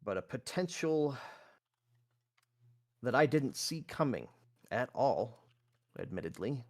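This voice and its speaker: A man playing a guarded male sorcerer in a tabletop game, speaking formally and haltingly. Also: mid-range voice, big pitch swings.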